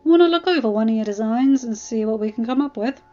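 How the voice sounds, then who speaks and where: A woman voicing a gruff, fatherly old male blacksmith in a storybook reading, with folksy speech and a kindly, remorseful streak. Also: on the high side, animated, quick pace, dark tone, dull audio, natural voice. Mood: inviting. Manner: folksy dialect, addressing the youngster as lad.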